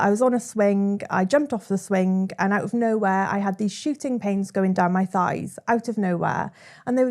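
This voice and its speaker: Young Welsh woman, chatty storyteller, mid-pitched, conversational guest. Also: a little mellow. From South Wales. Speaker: guest, content creator.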